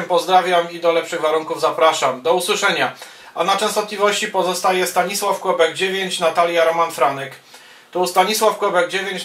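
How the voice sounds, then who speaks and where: Male ham radio operator, high voice, procedural calls mixed with casual remarks, hesitant phrasing, off-mic. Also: bright, forceful tone. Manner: procedural, spells callsigns in phonetic words, hesitant between phrases. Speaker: amateur radio operator and YouTuber. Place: small wood-panelled radio room indoors. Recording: roomy, distant microphone sound.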